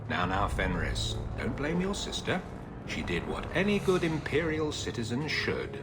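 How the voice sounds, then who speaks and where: A man's voice: medium pitch, very animated, normal speed, music in the background, close to an everyday voice. An actor playing a cruel, smug male magister who taunts his former slave in a silky, condescending way. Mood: mocking.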